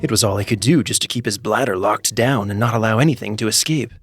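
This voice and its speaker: A man narrating a scripted comedic story in an expressive, theatrical way, with music playing behind him. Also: fairly low pitch, fast talker. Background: background music.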